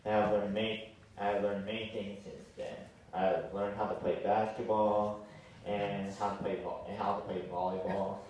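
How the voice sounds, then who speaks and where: A young man in his late teens giving a farewell speech in a halting way, stumbling and repeating phrases. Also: fairly low pitch, fairly even intonation, a little mellow. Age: late teens, young adult. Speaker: graduating senior giving a speech. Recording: very echoey hall, distant mic, faint noise.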